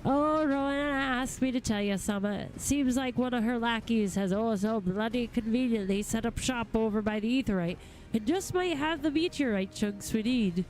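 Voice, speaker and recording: Salty, blunt game character played by a woman, slightly high voice, coarse and grumbling. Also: moderate pace, darkish tone, soft background music, close to an everyday voice, low-quality recording. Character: blunt, foul-mouthed game character grumbling about errands. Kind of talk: voiced video game dialogue.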